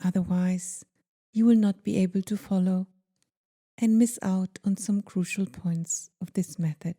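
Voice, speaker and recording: A woman guiding a meditation in a gentle, soothing, deliberate manner, leaving pauses between phrases. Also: medium pitch, steady tone. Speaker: meditation guide. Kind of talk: guided meditation.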